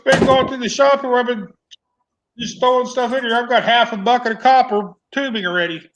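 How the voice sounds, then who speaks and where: A man's voice: very high voice, fairly even intonation, a little fast, fairly bright tone, a little hiss or hum, poor audio. A man co-hosting a casual livestream, talking in a laid-back, plainspoken way while he works in his shop. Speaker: co-host on a livestream.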